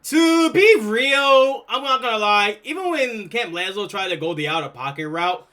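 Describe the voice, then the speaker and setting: Young guy streamer reacting, cursing a lot, super bright voice, kinda high. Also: moderate pace. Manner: casual, swears a lot, excitable. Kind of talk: live reaction stream chat.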